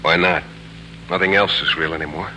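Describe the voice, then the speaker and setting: A reserved, disillusioned veteran in a 1940s radio play. He speaks tersely in a deep, strongly forceful voice, on a hissy old broadcast. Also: steady tone, fairly quick, rough recording. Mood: subdued, frank. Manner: terse, understated. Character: reserved, disillusioned war veteran, polite suitor. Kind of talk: 1940s radio drama acting.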